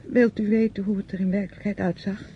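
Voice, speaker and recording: Radio drama, muffled with faint rumble: actress plays reproachful younger mistress, high voice, theatrical, lingering delivery. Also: female voice, level tone, fast, close to an everyday voice. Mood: taunting, accusing. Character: bitter younger woman, grieving mistress taunting her aunt.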